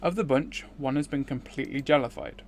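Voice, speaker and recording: A man's voice: mid-range voice, brisk, slight background noise. A man streaming a visual novel, reading its narration aloud in a dramatic style.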